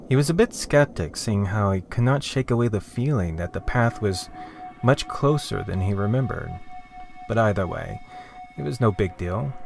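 A man giving dramatic scripted story narration in the theatrical manner of a showman. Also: lowish voice.

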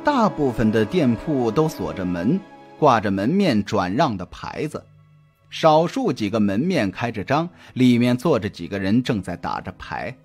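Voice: mid-range voice, very animated, measured pace, darkish tone. An audiobook narrator reading a scripted suspense story. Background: background music.

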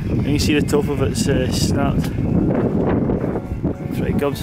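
A man's voice: medium pitch, completely flat delivery, measured pace, heavy background noise. A man giving casual, understated and hesitant narration of a hiking trip on a handheld camera. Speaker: hiker filming a camping trip. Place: outdoors on hills and inside a small tent. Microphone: handheld camera mic.

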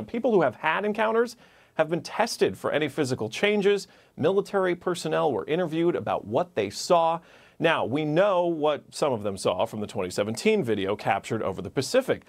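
A man's voice: medium pitch, creaky. A man in his thirties delivering a scripted news report from a studio, with wry chatty asides. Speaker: news correspondent.

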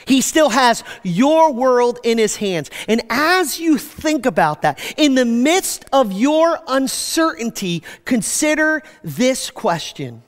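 A man in his forties preaching a sermon from a church stage, animated, his voice dropping low at the ends of phrases. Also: long reverb tail. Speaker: pastor. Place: church stage with screens, indoors.

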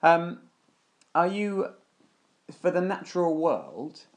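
A man with a British accent hosting a casual family podcast as a father, gently prompting his children with questions. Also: a little high, very animated, takes it slowly.

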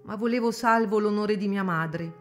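An actress playing a gentle young mother in a radio drama, pleading and dignified. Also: mid-range voice, normal speed, soft background music, lightly acted.

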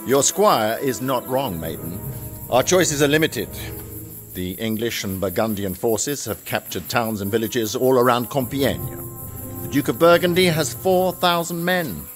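A man's voice: mid-range voice, animated, measured pace, soft background music, natural voice. A man acting in an audio drama as a sober veteran army captain, grave and blunt. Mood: grave, doubtful.

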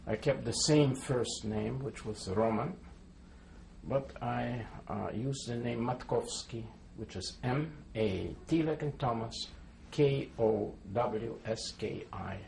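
A man's voice: medium pitch, slow, vocal fry, darkish tone, a little hiss or hum. A man in his seventies, born in Poland, giving a careful oral history testimony and spelling names letter by letter. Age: 70s. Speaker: interviewee.

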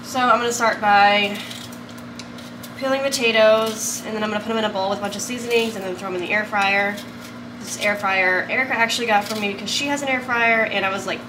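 A woman's voice: mid-range voice, background noise. Chatty woman vlogging in her kitchen. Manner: rambling, many "like" and "um" fillers. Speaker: vlogger and photographer. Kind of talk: casual vlog chat. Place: home kitchen, indoors.

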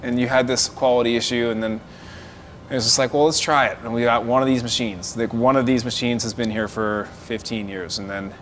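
A man's voice: medium pitch, fairly even intonation, fairly quick, fairly bright tone. A middle-aged man guiding a tour, explaining the work plainly and bluntly. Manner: laid-back, plain-spoken, blunt. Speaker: company guide. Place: large warehouse factory. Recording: long hall reverb, faint noise.

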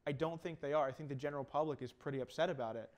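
A young man with a slightly high voice talks casually as a co-host on a video game panel. He presses his points insistently, in a conversational way. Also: racing, darkish tone. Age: 20s. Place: small TV studio.

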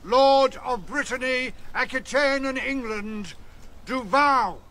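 An actor playing an ageing, sardonic, commanding king with a gravelly voice in a radio drama. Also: very high-pitched, slow, sharp tone, heavily acted. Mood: loud, solemn vowing. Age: older.

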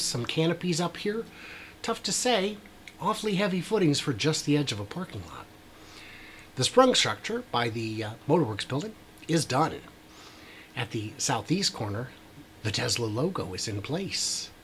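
A middle-aged man talks through construction progress in an easy, chatty way, dropping wry asides and plenty of filler words. His voice sits a little above the usual male range. He records in a small room at his desk, with a faint hum of ambience behind him. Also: a little high. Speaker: YouTube host. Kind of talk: casual commentary over drone footage. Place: small room with a brick wall.

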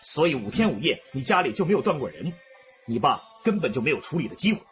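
An actor playing a firm, emphatic police detective setting out evidence in a radio drama. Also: male voice, a little high, very animated, fast talker, fairly bright tone, muffled recording, faint music behind. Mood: firm rebuttal. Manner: assertive, emphatic.

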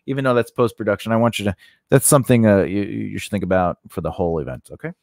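A man's voice: fairly low pitch, quick pace, vocal fry, darkish tone, no room sound, studio quality. A man teaching an informal, unscripted tutorial in a conversational way, often repeating phrases and checking in with the listener. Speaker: instructor and host of a writing lesson.

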